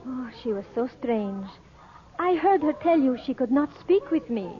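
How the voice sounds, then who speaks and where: An actress playing an anxious, devoted Egyptian princess with formal, halting, earnest phrasing, in the style of 1940s radio melodrama. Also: female voice, high voice, animated. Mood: grave, confiding. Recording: tinny, band-limited vintage radio recording.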